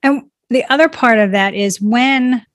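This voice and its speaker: A woman's voice: a little high, unhurried, no echo. A woman speaking as a guest in a conversation, earnest and encouraging, speaking in positive affirmations about personal growth. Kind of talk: reflective conversation.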